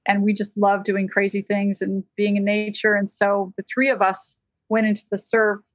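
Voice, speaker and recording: An American woman, a licensed counselor, telling a personal story as a podcast guest in a lively, conversational way. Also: medium pitch, normal speed, no echo, muffled recording. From United States.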